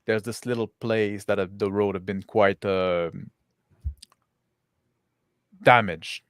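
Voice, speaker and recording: A man narrating a tabletop roleplaying game as game master, improvising haltingly. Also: lowish voice, fairly even intonation, fairly bright tone, smooth, dry recording.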